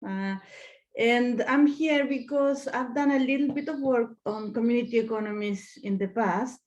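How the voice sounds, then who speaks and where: A woman's voice: fairly high pitch, normal speed, darkish tone, muffled recording. A woman from Chile, introducing herself on a video call in an upbeat, easy-going way. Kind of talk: casual video-call chat.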